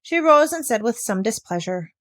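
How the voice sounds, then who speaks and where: A woman narrating a children's story as an audiobook reader, in an expressive style. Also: a little high, normal speed, no room sound.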